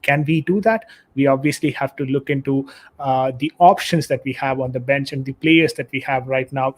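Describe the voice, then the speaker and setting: A man hosting a casual live football stream, reading out chat messages with many fillers. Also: medium pitch. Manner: reads chat messages aloud, hesitant with fillers.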